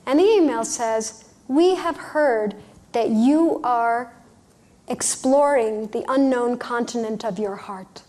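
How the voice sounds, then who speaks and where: A woman workshop leader leading a guided visualization in a gentle, soothing manner, speaking in short phrases with pauses. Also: a little high. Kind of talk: guided meditation, unhurried storytelling instruction.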